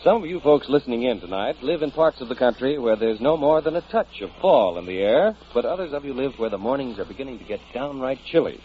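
A man's voice: mid-range voice, animated, quick pace, few pauses. A young man announcing and reading a scripted commercial in 1940s radio style. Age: young adult. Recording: muffled, slightly noisy 1940s radio broadcast.

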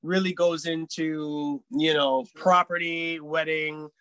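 A man in his thirties, a guest in a casual podcast chat, telling stories in an animated way and laughing often. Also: fairly high pitch, slow, clean tone, dry recording. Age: 30s.

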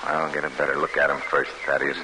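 An actor playing a grave, guarded Polish soldier telling of his wartime escape, earnest and deliberate, in an old-time radio drama. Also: very deep voice, flat delivery. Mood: wary. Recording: hissy vintage radio broadcast.

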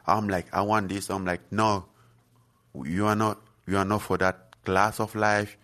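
Deep voiced cameroonian guy, lots of uh and like, earnest chat. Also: little pitch movement, fairly bright tone. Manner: halting, many fillers and restarts, earnest. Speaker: guest fighter. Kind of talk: casual interview chat.

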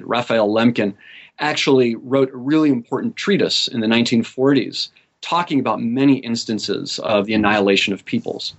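A man who grew up in South America, speaking as a guest academic on a podcast, thoughtful and measured, with frequent fillers. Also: mid-range voice, dull audio. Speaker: guest author. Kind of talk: academic interview.

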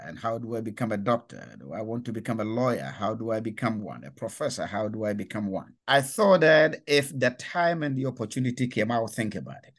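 An older man from Ghana, an author and professor, answering interview questions thoughtfully with many pauses and fillers. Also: mid-range voice.

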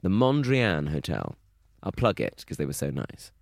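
A man's voice: low voice, big pitch swings, moderate pace, gravelly, no echo, clean studio sound. A young man co-hosting a podcast, telling stories in casual chat, with camp, chatty put-on quotes. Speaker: podcast co-host.

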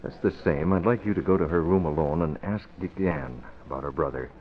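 A man playing a decisive newspaperman and secret crime fighter in an old-time radio drama, firm and deliberate. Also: a bass voice. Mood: firm, determined. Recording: dull vintage radio recording.

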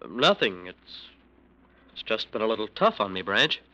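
Tense, nervous lawyer in trouble. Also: male voice, lowish voice, very animated, normal speed, pushed and bright, dull audio. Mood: nervous pleading. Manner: defensive, nervous, evasive. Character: anxious, guilt-ridden male lawyer cornered by gangsters. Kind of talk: old-time radio crime drama acting.

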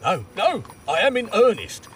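Giddy, high-pitched old miser reborn. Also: male voice, wildly swooping pitch, normal speed, far from the mic, faint music behind. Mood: delighted excitement. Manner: exuberant, breathless, exclamatory. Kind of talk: audio drama acting.

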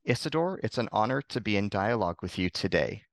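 A man's voice: on the low side, expressive, fast, vocal fry, dry recording, clean studio sound. A man hosting a podcast, asking formal, prepared interview questions. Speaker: podcast host.

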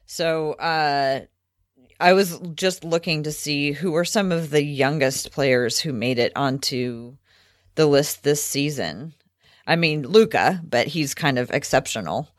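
A woman's voice: sits low, takes it slowly, dry recording, studio quality. A woman co-hosting a sports podcast in casual chat, thinking aloud a bit tentatively.

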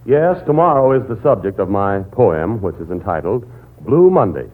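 Animated American man on tinny old radio. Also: fairly low pitch, moderate pace. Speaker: radio announcer. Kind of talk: rhymed 1940s radio ad reading.

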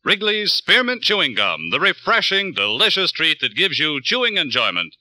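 A man's voice: on the high side, wildly swooping pitch, moderate pace, sharp tone, no echo. A man announcing in a polished, emphatic 1950s radio style, reading show introductions and sponsor copy. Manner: polished, emphatic sales delivery.

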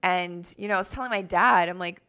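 A woman's voice: lowish voice, a little fast, sharp tone, clear, resonant tone. A Canadian woman in her thirties, co-hosting a podcast in a casual, rambling chat full of "like" fillers. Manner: chatty, rambling, frequent "like" fillers. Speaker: podcast co-host.

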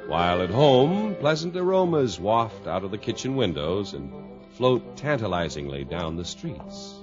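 A man announcing for a 1950s radio show in a polished, emphatic sales style. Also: medium pitch. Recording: muffled vintage radio recording.

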